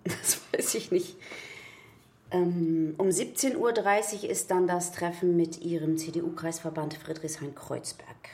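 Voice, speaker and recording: Creaky-voiced efficient assistant. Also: female voice, fairly low pitch, fairly even intonation, measured pace, darkish tone. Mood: businesslike, polite. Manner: businesslike, deferential. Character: efficient, polite female political assistant. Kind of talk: naturalistic radio drama acting. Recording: office noise with keyboard clicks.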